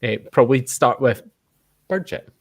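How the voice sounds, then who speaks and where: Male podcast host, informal and jokey, medium pitch, conversational online chat delivery. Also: lively intonation, moderate pace, husky, dry recording. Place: online call.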